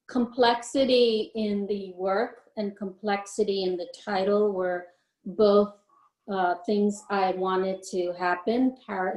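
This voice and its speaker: A woman in her fifties, an artist answering questions in a reflective, searching way over a video call, often pausing with ums. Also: a little high, darkish tone. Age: 50s. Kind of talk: thoughtful unscripted explanation. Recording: roomy echo.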